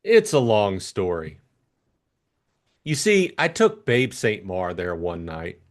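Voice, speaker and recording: Man playing young upper-class gentleman, flustered, indignant, protesting, very lively intonation, audiobook character. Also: sits low, slow, full character voice. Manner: protesting, stammering repetition.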